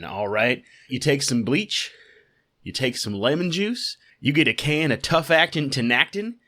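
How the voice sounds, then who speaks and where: A man's voice: medium pitch, bright, forceful tone, natural voice. A man playing a folksy, colloquial American conspiracy-peddling podcast host, ranting and hawking quack cures. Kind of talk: satirical podcast rant.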